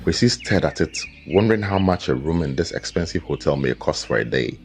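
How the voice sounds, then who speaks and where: Very deep-voiced male storyteller. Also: expressive, brisk, a little hiss or hum. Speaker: storyteller narrator. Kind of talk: scripted folktale narration. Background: background music with guitar.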